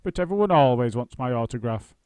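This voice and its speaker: A man voicing a pompous, gruff older male stage actor who booms dramatically. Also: lively intonation, fairly quick, darkish tone, dry recording, natural voice. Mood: booming.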